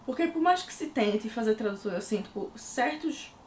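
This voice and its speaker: A woman's voice: on the high side, lively intonation, moderate pace, darkish tone, slight background noise. Chatty woman from Recife, Pernambuco. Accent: Recife Pernambuco Portuguese. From Recife, Pernambuco, Brazil. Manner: chatty, full of fillers. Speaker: book reviewer. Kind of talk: casual book review vlog. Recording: echoey room.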